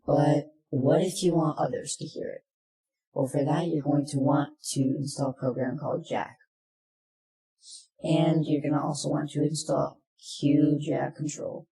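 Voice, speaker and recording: A presenter narrating a software tutorial in plain, step-by-step instructions, recorded in an echoey room. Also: mid-range voice, steady tone, a little slow, creaky.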